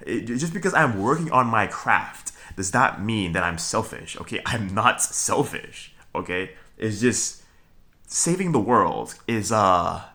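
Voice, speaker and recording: A man's voice: fairly low pitch, sing-song, a little slow, sharp tone. A young man from Brooklyn, talking to viewers in a rambling solo monologue, full of fillers, restarts and a repeated "okay?", with chuckles between points. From Brooklyn, New York. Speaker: vlogger.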